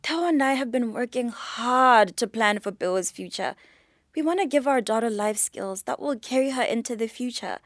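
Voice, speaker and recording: A woman's voice: a little high, animated, moderate pace, dry recording, clean studio sound. A woman with a Botswana accent acting a proud, frustrated young mother protesting to her own parent in a radio drama. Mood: pleading, firm. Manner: assertive, protesting.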